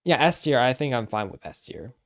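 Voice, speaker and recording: A man giving casual live stream commentary, thinking aloud haltingly and repeating himself. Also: medium pitch, big pitch swings, vocal fry.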